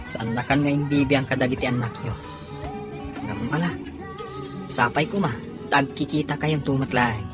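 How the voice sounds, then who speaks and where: A woman's voice: a bass voice, normal speed, long pauses, over music. A woman acting a concerned friend and drinking companion in an audio drama.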